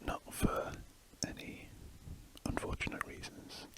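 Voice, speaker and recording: ASMR drawing host, gentle whispered chat, rambling and unhurried. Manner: soft, meandering, casual whispering with hesitant asides.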